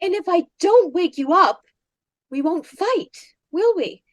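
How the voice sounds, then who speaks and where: Squeaky whiny wife, nags and needles, radio comedy. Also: female voice, lively intonation, a little slow. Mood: nagging. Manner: whiny, plaintive, teasing. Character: whiny nagging wife at bedside. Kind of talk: comic radio play acting.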